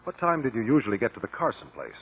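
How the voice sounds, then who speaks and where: Calm, precise investigator, 1950s radio drama, animated pitch, deliberate questioning, thin lo-fi broadcast. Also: male voice, slightly rough, full character voice. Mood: probing, polite. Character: calm, shrewd, probing male investigator. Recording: muffled lo-fi 1950 radio broadcast.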